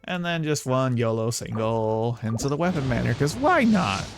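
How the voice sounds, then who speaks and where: A man chatting casually as a game streamer, informal and rambling, often halting mid-thought. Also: medium pitch, big pitch swings, gravelly, music in the background. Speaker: video game livestreamer. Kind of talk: casual livestream chat.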